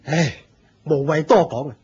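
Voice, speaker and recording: An actor playing a proud, jealous fire-sect leader in a Cantonese radio drama, with a pompous, theatrical manner and a Hong Kong accent. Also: male voice, fairly high pitch, unhurried, darkish tone, breathy edge. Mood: troubled, evasive. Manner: pompous, theatrical, shifting from courteous to scornful. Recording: dull lo-fi radio drama recording.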